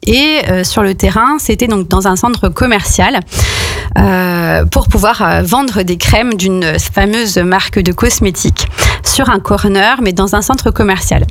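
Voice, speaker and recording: A woman's voice: medium pitch, measured pace. Hesitant young woman telling her story. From France. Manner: hesitant, many fillers and restarts. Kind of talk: casual spoken storytelling.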